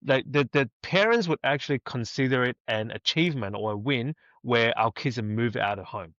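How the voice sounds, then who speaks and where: A man's voice: mid-range voice, moderate pace, no room sound. A man from Hong Kong co-hosting a podcast, speaking emphatically and often checking in with "right?". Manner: animated, emphatic, lots of "right?". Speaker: podcast co-host. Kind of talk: casual podcast conversation. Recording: slightly dull audio.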